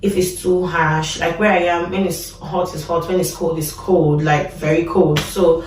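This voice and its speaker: A woman's voice: lowish voice, flat delivery, measured pace, darkish tone. A woman with a Nigerian accent, a nurse giving advice in a casual vlog, talking conversationally and repeating words for emphasis. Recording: echoey room, distant from mic.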